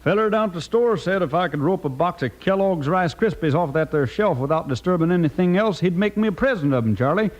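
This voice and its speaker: An actor playing a folksy cowhand with a rustic western drawl in an old-time radio commercial. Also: male voice, high voice, expressive, fairly quick, few pauses. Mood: earnest, homespun. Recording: dull vintage radio recording.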